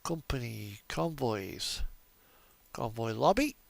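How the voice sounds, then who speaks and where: Man, slow pace, gravelly creak, casual gaming livestream, hesitant, muttering, thinking out loud. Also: mid-range voice, big pitch swings, no echo. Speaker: livestreamer, video game host. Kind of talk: casual unscripted gameplay commentary.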